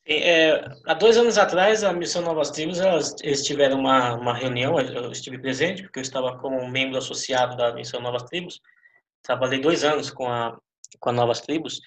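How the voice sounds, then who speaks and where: A man with a Brazilian accent in a casual interview discussion, talking haltingly as he rephrases and poses questions, recorded with a roomy echo. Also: medium pitch, fairly even intonation, fairly bright tone. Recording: roomy, echoing sound.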